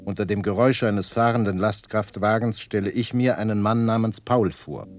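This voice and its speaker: A sober male narrator played by a man delivers matter-of-fact lines in an experimental radio play. His voice is fairly low. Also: moderate pace, lightly acted. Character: sober male narrator of a lorry driver's journey.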